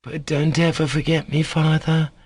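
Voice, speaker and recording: A man voicing a gravely ill, tender young boy in a storybook, plaintive and faltering as he pleads. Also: fairly high pitch, level tone, moderate pace, a little mellow, no echo, voice pushed up.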